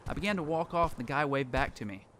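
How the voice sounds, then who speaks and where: A man's voice: fairly high pitch, animated, quick pace, a bit bright, a little hiss or hum. A man playing a lone apocalypse survivor who tells his story in earnest, dramatic first-person narration for an audio drama. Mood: reflective. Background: music.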